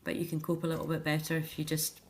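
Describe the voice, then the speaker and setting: A middle-aged Scottish woman with a slightly low voice talks as an interview guest. She is chatty and earnest, moving through her thoughts in an informal, anecdotal way. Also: completely flat delivery, quick pace, a little mellow. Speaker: interview guest, author and care home activities volunteer or worker. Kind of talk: informal radio interview chat.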